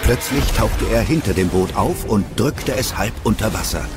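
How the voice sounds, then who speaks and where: A male narrator reads a children's audio story in a fairly low voice. Music plays clearly behind him. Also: lively intonation, normal speed.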